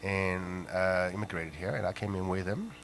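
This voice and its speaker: A middle-aged man from Israel, a musician guest on a live radio show, talking in a halting way with many fillers. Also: deep voice, a bit bright, faint music behind.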